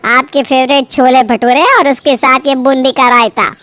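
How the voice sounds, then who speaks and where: A woman's voice: high-pitched, steady tone, normal speed, a bit bright, no room sound. A woman voicing a dutiful middle-aged mother who speaks obligingly and proudly of her rich cooking.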